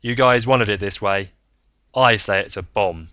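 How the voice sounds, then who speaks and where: A man voicing a gruff, cornered, desperate man in an audiobook story, blunt and emphatic. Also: fairly low pitch, measured pace, bright, forceful tone, no echo, muffled recording. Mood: grim defiance.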